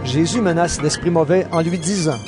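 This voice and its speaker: A man's voice: on the high side, moderate pace. A man reading scripted narration for a dramatized audio Bible. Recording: narration over clear background music.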